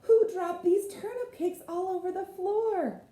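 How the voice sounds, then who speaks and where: A woman's voice: extremely high pitch, unhurried, mellow, voice pushed up. A woman voicing an indignant mother who exclaims and scolds. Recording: roomy echo.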